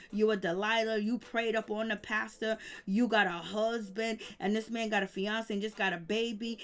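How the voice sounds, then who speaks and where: Woman, YouTube gossip commentator, fairly high voice, loose rambling delivery, tuts and hums along the way. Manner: chatty, rambling with many "you know" fillers; hums disapproving "mm, mm" asides. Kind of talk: casual gossip recap.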